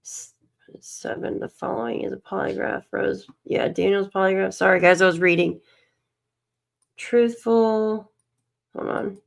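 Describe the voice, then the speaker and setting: A woman's voice: medium pitch, steady tone, unhurried, gravelly. A tired woman hosting a casual livestream, reading documents aloud and muttering to herself as she searches, coughing and losing her voice. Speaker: livestream host.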